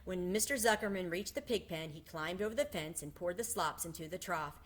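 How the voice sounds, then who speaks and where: A woman reading a children's book aloud in an expressive storytelling style. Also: fairly low pitch, fairly quick. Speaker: storybook reader.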